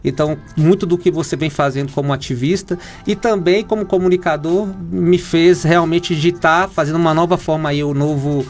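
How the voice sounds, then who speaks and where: A man, an oncologist from Minas Gerais with a Brazilian accent, explains things in a halting way, full of fillers and restarts. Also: a little high, fairly even intonation, a little mellow, soft background music. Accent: Brazilian Portuguese, Minas Gerais. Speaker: oncologist guest. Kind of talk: explanatory interview talk.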